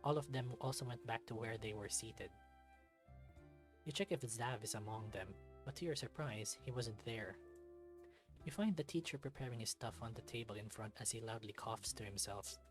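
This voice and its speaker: A narrator reading a visual novel aloud in expressive second-person storytelling. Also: mid-range voice, moderate pace, over music.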